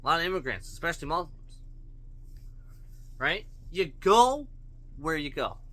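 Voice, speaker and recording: A man's voice: on the high side, big pitch swings, moderate pace, bright, forceful tone. A man ranting bluntly to his viewers, profane and full of rhetorical questions. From Canada. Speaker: vlogger. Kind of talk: opinion rant to camera.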